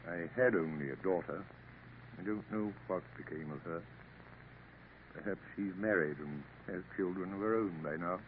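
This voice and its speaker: A man's voice: deep voice, normal speed, natural voice. A man playing a weary, wistful old father. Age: older. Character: weary older father back from abroad, gentle. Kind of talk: 1950s radio acting. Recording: tinny old radio broadcast, faint hiss.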